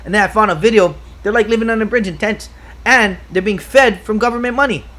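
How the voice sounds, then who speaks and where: A man from Hawaii talking in a casual podcast chat, excitable and profane, rambling from one point to the next. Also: sits high, fast. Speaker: podcast guest.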